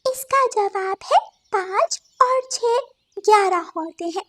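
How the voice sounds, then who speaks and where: A woman voicing a clever, dutiful young girl sparrow with a squeaky, childlike voice in a cartoon. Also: takes it slowly, fairly bright tone, no room sound, clean studio sound, exaggerated voice. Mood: confident.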